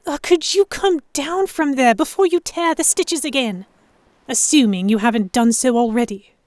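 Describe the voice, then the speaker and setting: A woman's voice: high-pitched, moderate pace, darkish tone, dry recording, lightly acted. Woman acting wry pirate-romance heroine, dry close recording. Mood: scolding, dry. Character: proud, sharp-tongued young woman, easily flustered, historical heroine. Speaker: narrator and lead voice actor. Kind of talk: first-person scripted dramatic narration, pirate romance.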